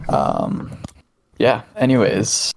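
A man's voice: medium pitch, very animated, drawn-out words, vocal fry, a little hiss or hum. A man co-hosting a podcast, reading out trivia hints in an easygoing way and laughing often. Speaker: podcast co-host, quiz giver. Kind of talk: casual chat.

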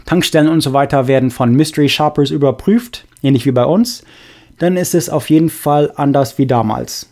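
A young man hosting a podcast, reading listener messages in a relaxed, halting way with many fillers. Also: on the high side. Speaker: podcast host. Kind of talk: casual podcast chat reading listener mail.

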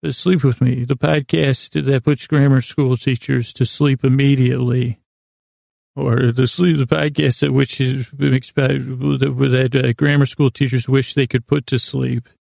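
A man with a medium-pitched voice full of vocal fry, recorded very dry. He delivers a bedtime monologue as a podcast host, stumbling and doubling back through false starts. His manner is absurdist and self-deprecating, wandering from one half-finished thought to the next. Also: level tone, a little mellow, no room sound. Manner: halting, meandering, self-interrupting with false starts.

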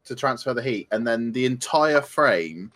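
A man's voice: mid-range voice, expressive, moderate pace, a bit bright. British man in casual tech chat. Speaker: podcast co-host.

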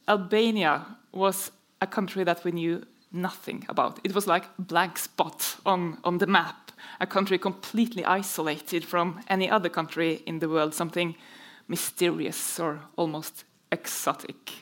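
A middle-aged woman with a Norwegian accent introducing a guest on stage as interviewer, in halting, measured phrases. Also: lowish voice, fairly even intonation, a bit bright.

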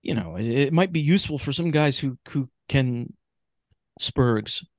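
A man in a casual podcast conversation, talking in a halting, rambling way and often restarting his phrases. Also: medium pitch, expressive, dry recording, studio quality.

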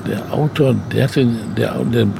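A man's voice: mid-range voice, moderate pace, creaky. An older man, an author being interviewed, talking in an easygoing way and murmuring agreement. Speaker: guest author. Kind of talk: casual conversation. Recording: background vehicle noise.

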